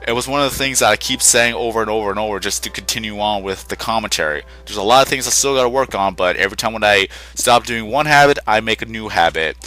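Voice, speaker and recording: Young male gaming host, low-pitched and punchy, a touch congested, rambling update. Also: fast talker, few pauses, bright, forceful tone, low-quality recording. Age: young adult. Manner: rambling, self-correcting, conversational. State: slightly sick and congested, short on sleep. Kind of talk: casual rambling channel update.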